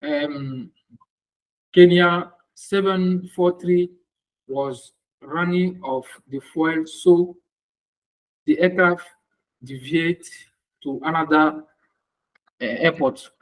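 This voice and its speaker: Guy doing english practice, kinda slow and hesitant, repeats stuff, voice a bit high. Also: fairly even intonation, rough recording. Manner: hesitant, halting, repeats words. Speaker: student, air traffic controller. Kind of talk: answering exercises in a language lesson.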